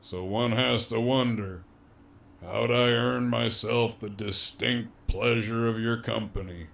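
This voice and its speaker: A man's voice: medium pitch, steady tone, slow pace, creaky, fairly bright tone. A man playing a sly, cocky captive villain in an audio drama, with a harsh, scratchy voice and a sardonic drawl. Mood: sly teasing. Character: sly cocky imprisoned male villain with damaged throat.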